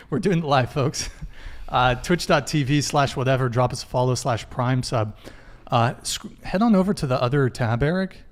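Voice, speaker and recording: A man's voice: medium pitch, normal speed, few pauses. A young man hosting a live dating podcast, loose and joking as he stumbles through announcements. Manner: loose, joking, stumbles over tech mishaps. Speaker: podcast host. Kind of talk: live stream announcements, casual.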